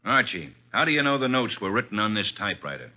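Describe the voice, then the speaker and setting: An actor playing a blunt, impatient police inspector, gruff and curt, in an old radio mystery. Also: male voice, on the low side, big pitch swings, fast talker. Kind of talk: 1950s radio mystery acting. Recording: dull vintage radio recording.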